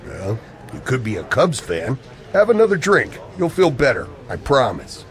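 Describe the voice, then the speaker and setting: A man's voice: medium pitch, wildly swooping pitch, moderate pace. An actor playing an edgy, secretive man in radio play dialogue, coy and evasive with an old friend. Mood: sly, confident. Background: music.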